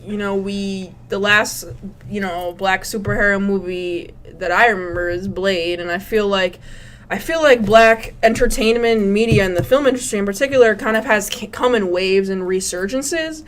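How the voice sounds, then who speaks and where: Animated, slangy young woman co-host. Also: medium pitch, moderate pace. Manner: animated, slangy, swears casually. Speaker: podcast co-host. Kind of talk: casual chat.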